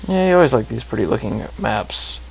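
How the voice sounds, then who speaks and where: A man's voice: mid-range voice, big pitch swings, brisk, creaky, slight background noise, rough recording. A man co-commentating on a video game in a casual, offhand, wry way. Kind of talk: casual chat over gameplay. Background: video game music.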